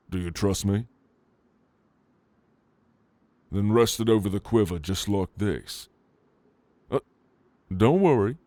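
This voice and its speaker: A man playing a flirtatious, protective orc in an intimate ASMR roleplay, with a teasing, casual and gentlemanly manner. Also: deep voice, dry recording. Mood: tender, flirtatious. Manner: teasing, intimate, casual drawl with soft chuckles. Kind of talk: ASMR roleplay addressed to the listener.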